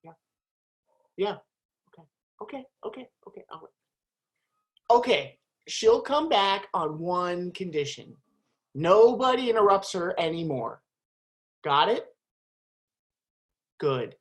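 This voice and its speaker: A woman's voice: fairly low pitch, big pitch swings, slow, pauses often. A woman playing a flustered, stammering stage manager who scolds the narrator in a community stage play. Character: flustered female stage manager trying to keep the show going.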